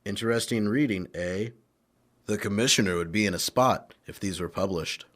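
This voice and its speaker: A man's voice: on the low side, normal speed, fairly bright tone. A man playing a confident masked vigilante in an old-time radio crime drama, clipped, sly and commanding.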